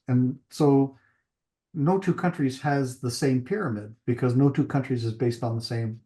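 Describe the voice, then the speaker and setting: An older man from Italy lectures over a video call in a measured, halting way, often restarting his sentences. His voice is mellow and dark, sitting in a middle pitch. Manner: measured, with frequent restarts and fillers. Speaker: guest lecturer. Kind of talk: webinar lecture.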